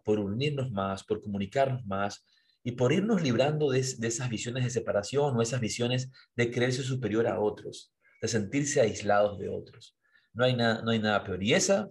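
Low husky man, earnest. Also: darkish tone. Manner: earnest, preachy, repeats and restarts words while thinking. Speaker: podcast co-host. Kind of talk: reflective podcast conversation.

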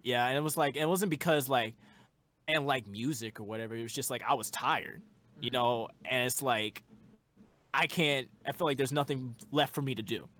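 A man's voice: mid-range voice, moderate pace, fairly bright tone. A young man who makes music, a guest in a casual interview, talking earnestly and rambling with lots of fillers.

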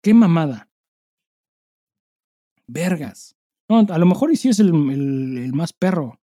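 A man's voice: on the high side, animated, slow, dark tone, no echo, clean studio sound. A Mexican man co-hosting a podcast in casual chat, swearing freely and speaking with emphasis in heavy slang. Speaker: podcast co-host.

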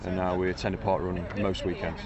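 A father talks in a calm, measured way. His voice is very deep. He is recorded outdoors with a lively crowd around him. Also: lo-fi sound. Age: 30s. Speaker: parkrun runner, father.

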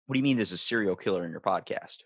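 A man's voice: sits low, animated, very fast, no room sound. A man hosting a podcast in casual chat, blunt and slangy, pressing his guest with questions. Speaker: podcast host.

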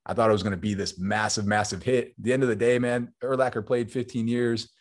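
A man in his late twenties, a former pro football player now in business, telling stories in a relaxed, rambling way and often saying "man". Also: fairly low pitch, fast. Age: 20s. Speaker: guest, former football player turned entrepreneur. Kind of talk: casual interview storytelling.

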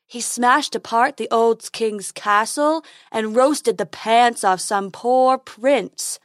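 Woman playing a dim, earnest storybook creature: slow, loud, drawn out. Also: a little high, no echo, clean studio sound. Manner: slow, loud, earnest, refers to himself by name. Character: simple-minded male creature who talks in third person.

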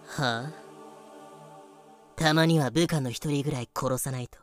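A man's voice: mid-range voice, measured pace, faint music behind, natural voice. A man voicing a casually cruel pirate captain who talks in nonchalant, feminine phrasing in a fan-made voice drama. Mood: cool, menacing.

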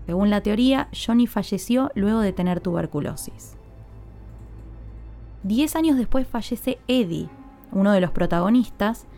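A woman podcast host with a fairly high but very soft, mellow tone narrates a scripted story. She explains things in a measured way, leaning on her opening words, over light background music.

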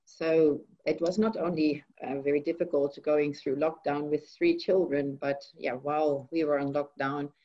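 Low-voiced Dutch woman, soft and earnest. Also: fairly even intonation, normal speed, hardly any pauses. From Netherlands. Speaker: radio guest. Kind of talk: personal testimony in a radio interview. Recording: muffled lo-fi radio sound.

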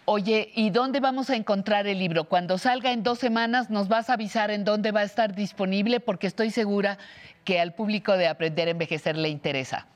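A woman's voice: medium pitch, brisk. A Mexican woman hosting a television interview, asking questions and thanking her guest with frequent affirming interjections. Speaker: host.

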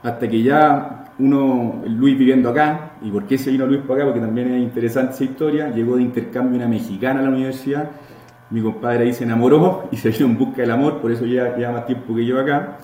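A Chilean man in his thirties tells his story as a podcast guest, chatty and circling back on his own words. His voice is dark and relaxed. The room gives it a noticeable echo. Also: medium pitch, quick pace. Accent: Chilean Spanish. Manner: chatty, repeats words while building a story. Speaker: guest, entrepreneur. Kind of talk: casual interview storytelling. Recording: roomy echo, faint background noise.

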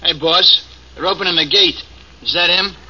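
An eager gangster's driver. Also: male voice, sits high, moderate pace, sharp tone, muffled recording. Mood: alert. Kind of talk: 1940s radio acting.

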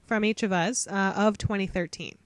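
A woman's voice: mid-range voice, steady tone, normal speed, slight background noise. A young woman co-hosting a casual podcast, playful and laughing often, with frequent fillers. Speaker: podcast co-host.

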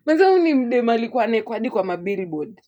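A Kenyan woman co-hosting a podcast in casual, lively chat, laughing often as she talks. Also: on the high side, very fast, highs cut off. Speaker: podcast co-host. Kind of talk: casual chat, code-switching.

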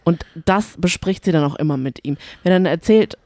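Casting: female podcast co-host, lowish warm voice, chatty and opinionated, close dry sound. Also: brisk, no echo. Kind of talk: casual chat recapping reality TV.

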